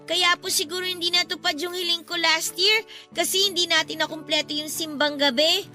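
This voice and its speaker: Sweet eager Filipino girl of nine, very high piping pitch, radio drama, music bed. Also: steady tone, fairly quick, sharp tone, heavily acted.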